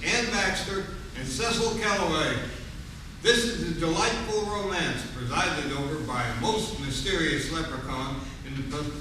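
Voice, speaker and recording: A man's voice: a little high, lightly acted. A man playing an enthusiastic 1940s radio announcer, reading from a script on stage. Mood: upbeat. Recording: distant, echoey, noisy hall.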